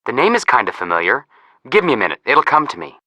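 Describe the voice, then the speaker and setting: A man's voice: medium pitch, big pitch swings, fast talker, bright, forceful tone, dry recording. A man playing a sarcastic young amateur detective, a joking younger brother, in a video game. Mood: upbeat, joking. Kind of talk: scripted game dialogue.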